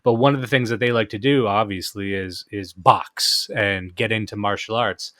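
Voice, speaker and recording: A man hosts a podcast with a voice of ordinary pitch. The sound is dry and close, and he keeps up a steady run of dry jokes. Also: moderate pace, dry recording. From Canada. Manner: joking, dry humor. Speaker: podcast host. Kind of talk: casual podcast conversation.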